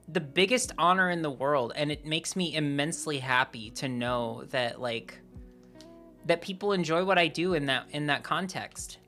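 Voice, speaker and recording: A young woman streamer talks in a casual, rambling way, filling her sentences with "like." Her voice sits very low and sounds a bit rough from a sore throat, yet it keeps a bright, forward edge. Faint music plays behind her. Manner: rambling and candid, heavy use of "like". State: sore throat, voice deeper than usual. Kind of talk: casual live-stream chat.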